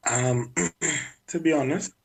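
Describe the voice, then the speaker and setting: A man working as a music manager, chatting candidly in an interview and often clearing his throat. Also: mid-range voice, slow. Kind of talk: casual interview talk.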